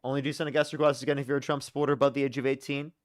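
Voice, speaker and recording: A young man hosting a live call-in debate stream, briskly screening callers with sarcastic asides. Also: mid-range voice, level tone, no room sound, clean studio sound. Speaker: livestream debate host.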